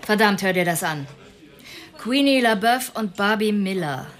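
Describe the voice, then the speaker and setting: An actress playing a determined, sharp-witted police detective in a radio drama, businesslike and firm. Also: female voice, mid-range voice, expressive, measured pace. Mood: brisk, intent.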